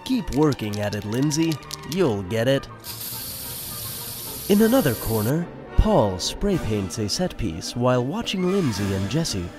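A man's voice: medium pitch, very animated, unhurried, music in the background. A man narrating a radio play in the present tense with a conversational storytelling manner.

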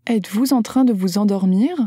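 A woman's voice: mid-range voice, a little fast, dark tone, husky, no room sound, studio quality. A woman carefully reading short phrases aloud for a language lesson, enunciating each one. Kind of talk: slow scripted phrase reading.

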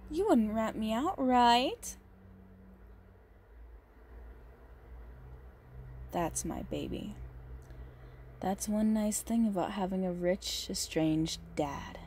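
A woman playing a big, muscular goth girlfriend, possessive and unhinged yet doting, in an intimate, halting ASMR roleplay monologue. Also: medium pitch, lively intonation, darkish tone, a little hiss or hum. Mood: coaxing, smug. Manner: slow, halting, doting, menacing under sweetness.

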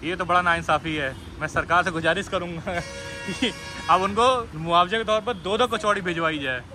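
A man's voice: sits high, normal speed. Teasing vlogger man, traffic behind. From Lucknow, India. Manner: playful, teasing banter. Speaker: travel vlogger. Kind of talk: casual vlog chat.

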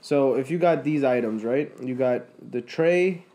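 Young man, podcast co-host, mellow low-key tone, rambling explainer, fillers and restarts, recorded from across a table. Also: unhurried. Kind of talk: casual chat. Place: room with a conference table.

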